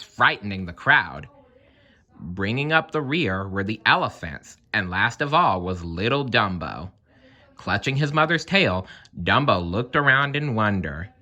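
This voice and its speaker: A man narrates a children's story aloud. His voice sits a little low but is bright, with expressive, highly varied intonation. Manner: expressive, animated. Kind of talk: children's read-aloud narration with playful asides.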